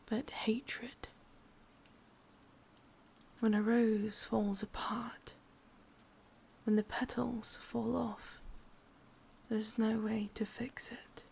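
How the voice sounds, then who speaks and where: Woman doing brooding evil witch for asmr roleplay, english accent, slow breathy whisper with some creak. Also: a little high, heavily acted. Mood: cruel, bitter, then wounded, bitter. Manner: slow, hushed, deliberate. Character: sadistic, brooding female witch, bitter and obsessive.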